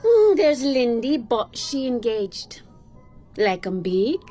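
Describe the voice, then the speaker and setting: An actress playing a cheeky, warm-hearted young Jamaican woman who manages a bar in a radio drama, teasing and frank in an unhurried way. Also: a little high, big pitch swings, soft background music. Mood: teasing, sly.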